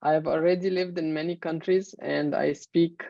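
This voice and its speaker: A woman in her thirties from Egypt, a native Arabic speaker, giving a measured presentation in an online webinar with many fillers. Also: low-pitched, steady tone, vocal fry, warm tone, dry recording. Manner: measured, explanatory, frequent fillers. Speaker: main speaker. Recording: dull, muffled webinar audio.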